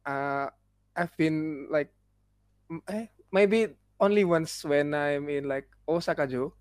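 A young man, a guest, chatting casually in halting English and Japanese with many fillers and pauses, speaking as an in both. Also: a little high, a little mellow, smooth, dry recording, clean studio sound. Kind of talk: casual chat.

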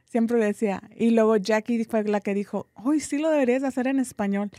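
A woman's voice: fairly high pitch, steady tone, fairly quick, mellow, dry recording. A woman from Tijuana with a Tijuana Mexican Spanish accent, co-hosting a podcast in blunt, slangy, laugh-filled casual chat. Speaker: podcast co-host.

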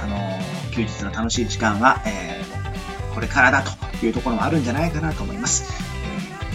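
A man hosting a casual radio chat, chatty and full of small fillers, with polite phrasing. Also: mid-range voice. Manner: chatty, frequent sentence-ending fillers, polite sign-offs. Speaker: radio show host.

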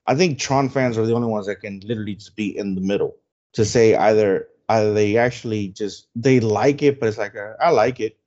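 A man's voice: lowish voice, fairly quick, darkish tone. A man whose first language is Spanish, co-hosting a casual podcast and rambling with lots of fillers and restarts. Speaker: podcast co-host. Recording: dull lo-fi sound.

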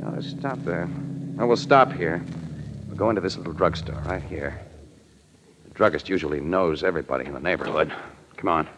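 An actor playing a brash, wisecracking crime photographer in an old-time radio drama, slangy, breezy and quick-witted. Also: male voice, low voice, a bit bright. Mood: breezy, bossy. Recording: muffled, noisy old radio recording.

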